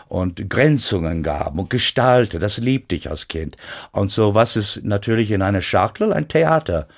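Man, American accent, non-native German, low voice, halting, searching for words. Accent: American English. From United States. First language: English. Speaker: guest pianist. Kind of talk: radio interview.

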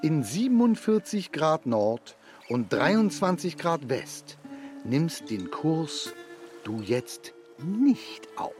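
A fretful, unsure father figure with a lively, wandering pitch and slow delivery. He hesitates and dodges timidly, in a children's audio drama with faint music behind him. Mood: nervous, reciting. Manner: hesitant, stammering, evasive. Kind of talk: children's radio play acting.

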